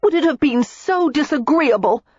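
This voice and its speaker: A woman's voice: high voice, lively intonation, normal speed, a little mellow, no echo, dull audio, pitched above the performer's own voice. A woman voicing a fiery indignant young woman. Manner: raised, heated, accusing delivery. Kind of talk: audiobook character voice.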